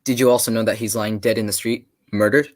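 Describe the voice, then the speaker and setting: A man playing a hearty, cautious, avuncular English gentleman in a 1940s-style radio drama. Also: lowish voice, fairly even intonation, fast talker, close to an everyday voice.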